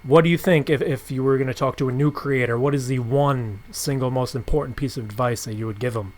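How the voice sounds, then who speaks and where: A man with a mid-pitched voice hosts an informal interview. He is encouraging toward his guest and phrases things haltingly, in an everyday conversational way. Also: steady tone, darkish tone. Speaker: podcast host, interviewer.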